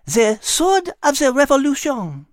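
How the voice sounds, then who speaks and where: Storybook female cat, played by a man: French accent, genteel, gentle, very high-pitched, expressive swings. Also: a little slow, no echo, studio quality, full character voice. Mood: wistful. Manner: genteel, soft-spoken, sprinkles French words.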